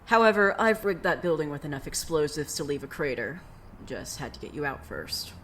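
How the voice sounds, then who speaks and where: An actor playing a sardonic, profane young rescuer with a vengeful streak and a dry, deadpan delivery in an audio drama. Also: medium pitch, a little fast. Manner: deadpan, dry, hesitant in places. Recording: clear noise behind the voice.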